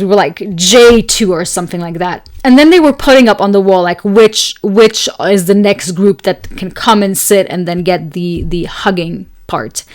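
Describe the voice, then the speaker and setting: Chatty young woman, slightly raspy voice. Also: mid-range voice. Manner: chatty, rambling, many fillers and laughs. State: tired voice from long talking. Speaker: astrology podcast host. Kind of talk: casual solo talk and storytelling.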